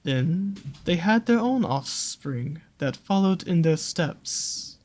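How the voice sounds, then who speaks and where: A performer plays a possessive serpent creature from a fantasy audio roleplay. The delivery is extremely slow and soothing, at a medium pitch. Every phrase is coaxing and doting, with a sly edge beneath the care. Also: expressive, darkish tone. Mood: solemn storytelling. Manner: unhurried, coaxing, doting with pet names.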